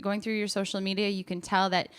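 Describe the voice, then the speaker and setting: Woman host doing a casual interview, really encouraging, crisp smooth voice. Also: medium pitch, flat delivery, fast. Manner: encouraging, affirming.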